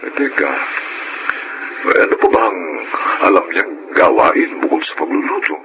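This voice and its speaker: An actor playing an eager, helpful older male friend, excitable and emphatic, in a Filipino radio drama with noisy audio. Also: low voice, moderate pace. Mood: curious.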